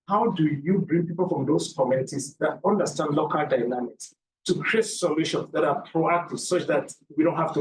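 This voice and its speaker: A man's voice: fairly high pitch, a little mellow. A man from Cameroon giving a seminar lecture, explaining by repeating key points and asking rhetorical questions. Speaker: academic presenter. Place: lecture room. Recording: roomy, from a distance, faint background noise.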